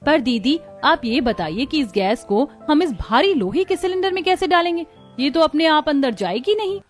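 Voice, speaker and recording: A woman's voice: high-pitched, lively intonation, moderate pace, faint music behind. A woman voicing a curious, doubting younger daughter-in-law in a village cartoon, with a questioning, fretful manner. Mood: eager, worried. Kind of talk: scripted cartoon dialogue.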